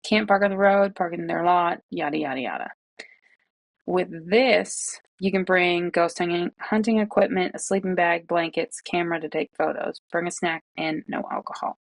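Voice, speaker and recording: A young woman from the with a gravelly, fry-edged voice that sits on the low side, talking in a casual vlog. She rants with incredulous, exasperated asides. The recording is dry and close. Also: fairly even intonation, moderate pace, dry recording. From Massachusetts, North Shore of Boston. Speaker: true crime YouTuber.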